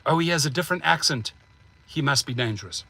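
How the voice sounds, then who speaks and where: Man, 40s, South African accent, emphatic vlogger, slightly high and sharp-edged tone, phone mic in a car. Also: quick pace, creaky, slightly rough. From United States. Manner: emphatic, animated, gestures while talking. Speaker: rideshare driver and channel host. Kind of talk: casual vlog rant to camera. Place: parked car, indoors. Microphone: phone held at arm's length.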